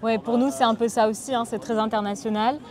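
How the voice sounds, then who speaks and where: A woman's voice: fairly high pitch, fairly even intonation, fast, darkish tone. A woman of thirty from Bordeaux who hosts and interviews a guest in a friendly, chatty way, with plenty of fillers. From Bordeaux, France. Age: 30s. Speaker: host. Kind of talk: casual conversational interview.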